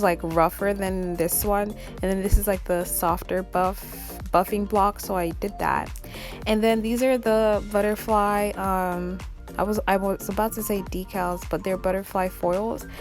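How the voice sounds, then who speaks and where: Young woman, raspy morning voice, rambly and apologetic, talking into her phone mic, faint music under it. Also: medium pitch. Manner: rambling, self-critical, apologetic. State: raspy just-woken voice. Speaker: nail art vlogger. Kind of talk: casual voice-over narration.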